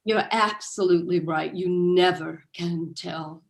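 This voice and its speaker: A woman's voice: lowish voice, steady tone, a little slow, vocal fry. A woman playing a gossipy, anxious suburban housewife in a staged play reading. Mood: chatty, worried. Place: video call.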